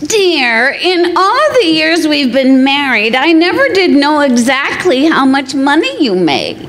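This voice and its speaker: A scatterbrained wife from an old-time radio comedy , played by a woman. Her voice is high and swings widely in pitch, taken at a slow pace as she reasons earnestly and gets things cheerfully muddled. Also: big pitch swings, fairly bright tone, exaggerated voice. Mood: earnest complaining.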